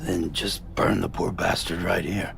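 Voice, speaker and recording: An actor playing a gruff, reluctant loner deliveryman in a game drama, terse and blunt with a gravelly voice. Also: deep voice, flat delivery, measured pace, heavily acted. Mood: blunt, grim. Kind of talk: dramatic video game cutscene acting. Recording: loud cinematic music underneath.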